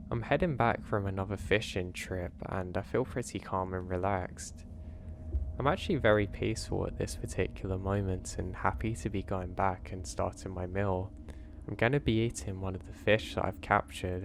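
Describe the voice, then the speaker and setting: A man with a lowish voice, his throat slightly strained, reads first-person horror stories in a calm, measured way. He speaks as a steady host over a faint bed of background noise. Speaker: horror story narrator. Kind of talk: scripted first-person story narration.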